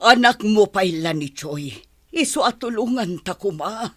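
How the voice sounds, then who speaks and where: A woman's voice: medium pitch, animated, measured pace, a bit bright. A woman with an Ilocano accent playing a stern, exasperated middle-aged village mother in a radio drama. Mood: worried. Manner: nagging, exasperated.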